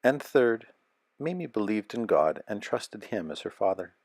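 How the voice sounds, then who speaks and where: A man narrating a children's picture book aloud as a storyteller, with a measured, expressive delivery. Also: on the low side, dry recording, studio quality.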